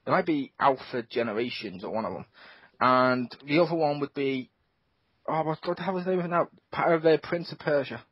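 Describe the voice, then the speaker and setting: A man hosting a casual gaming podcast. He talks in an animated way, swears a lot and acts out his own reactions. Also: on the high side, fairly quick, breathy edge, dry recording. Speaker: podcast host. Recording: dull lo-fi podcast audio.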